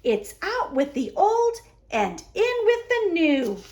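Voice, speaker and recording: A woman's voice: very high voice, very animated, slow pace, darkish tone, voice pushed up, heavily acted. A woman voicing a tiny, helpful wren in a children's storybook, chirpy and confident. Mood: eager.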